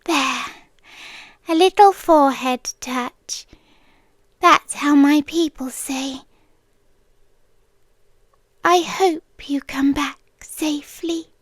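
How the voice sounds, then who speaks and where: Woman voicing a slow, high-pitched British monster girl. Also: no room sound. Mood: tender, longing. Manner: teasing, intimate, often dropping into whispers. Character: playful, tender, possessive young female forest monster girl. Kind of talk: roleplay ASMR.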